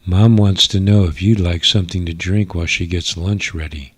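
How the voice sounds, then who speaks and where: A man's voice: low voice, steady tone, normal speed, husky, no room sound, pitched below the performer's own voice. A man plays a teenage alien girl in a sci-fi radio play. She sounds sulky and bored, with a pouting, grudging delivery in a dry recording. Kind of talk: radio drama character voice.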